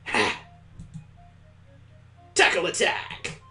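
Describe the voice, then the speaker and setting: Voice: very slow, pushed and bright, soft background music, exaggerated voice. A game streamer voicing a rowdy, playful, bratty young child in a visual novel, boisterous and exclaiming.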